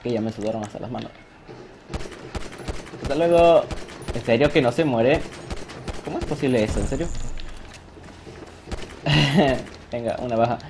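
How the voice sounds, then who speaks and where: A man talks over his online game in an excitable, self-mocking way. He laughs often and jokes about his own mistakes. The sound of the game plays clearly behind his voice. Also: medium pitch, moderate pace, long pauses. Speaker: gaming youtuber. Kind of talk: live gameplay commentary. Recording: game audio noise behind the voice.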